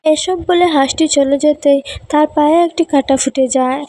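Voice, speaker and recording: A woman's voice: high-pitched, steady tone, moderate pace, dark tone, no echo. A woman reading scripted narration for a children's story.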